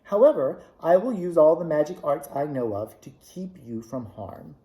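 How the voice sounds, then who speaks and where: A man voicing a kindly little old witch woman in a storybook reading, with a gentle, solemn manner, raised higher than his own voice. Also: big pitch swings, normal speed. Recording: echoey room.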